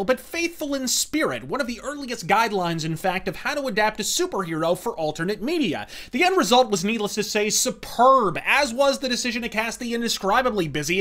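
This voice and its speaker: A man's voice: high voice, pushed and bright. A man hosting a scripted video essay, narrating in florid, wordy and profane language. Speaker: reviewer and host.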